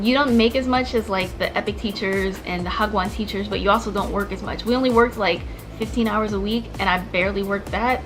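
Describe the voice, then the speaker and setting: A young woman vlogging in a casual, chatty way, peppering her talk with fillers and restarts. Music plays clearly behind her voice. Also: medium pitch, a bit bright. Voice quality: chatty, many likes and restarts. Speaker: vlogger, former teacher. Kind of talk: casual chat to camera.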